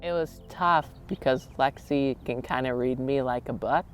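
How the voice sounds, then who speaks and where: A man's voice: medium pitch, normal speed. A young man telling his own love story in a casual, conversational way. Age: young adult. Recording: music behind the voice. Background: music.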